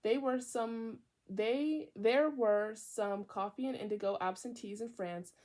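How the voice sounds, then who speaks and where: A young woman from Virginia reading a book aloud in a casual way, often drifting into chatty side remarks, with a high and often loud voice. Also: unhurried. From Virginia, USA. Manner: chatty, digressive, mixes reading with asides. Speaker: book reader. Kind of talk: casual read-aloud with tangents.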